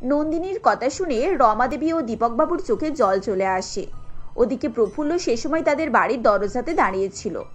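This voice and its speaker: A woman's voice: on the high side, expressive, normal speed, slightly rough, faint music behind. A woman reading scripted narration for a cartoon family story.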